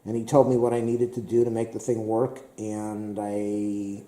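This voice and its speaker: A man talks casually and rambles, repeating himself and filling pauses with "uh." His voice is very dark and mellow and a little hoarse. He sounds like he's in an echoey room. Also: on the low side, completely flat delivery. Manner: rambling, repetitive, many fillers. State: slightly hoarse. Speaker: tech vlogger.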